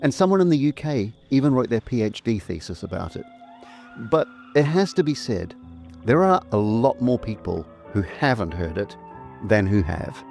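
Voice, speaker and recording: Slightly low-voiced man narrating a trailer. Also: big pitch swings, moderate pace, creaky, a little mellow. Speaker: presenter and remastering producer. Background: faint music.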